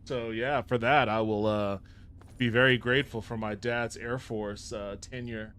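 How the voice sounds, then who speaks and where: A man's voice: mid-range voice, steady tone, measured pace, fairly bright tone, slight background noise. A man raised in Japan, live-streaming a game and chatting casually with his viewers. He is upbeat, thanks people by name and swears casually. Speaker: livestreamer.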